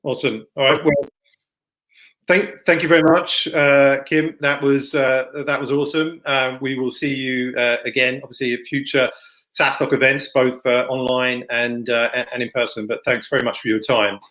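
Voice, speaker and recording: A man hosting an online event in a casual, unscripted way, with halting, informal delivery. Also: medium pitch, flat delivery, a bit bright, low-quality recording. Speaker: event host and moderator. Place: online video session. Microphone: computer microphone, plugging in another mic partway.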